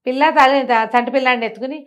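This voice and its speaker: పై స్థాయి గొంతుతో కథ చెప్పే మహిళ. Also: female voice, sits high, steady tone, racing. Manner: colloquial, asks rhetorical questions as she goes. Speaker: storyteller. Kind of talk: conversational oral storytelling.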